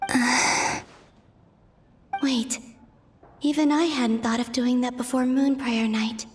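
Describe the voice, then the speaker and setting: A woman playing a gentle, anxious young moon maiden in a video game, musing in a hushed, hesitant way. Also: fairly high pitch, fairly even intonation, takes it slowly. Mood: hushed, troubled. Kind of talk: video game dramatic voice acting. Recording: music behind.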